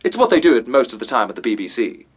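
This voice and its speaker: A man's voice: medium pitch, big pitch swings, fast, a little hiss or hum, lightly acted. An actor playing a pompous, self-important amateur theatre director in a comic radio drama, grandly enunciating his words. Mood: breezily dismissive.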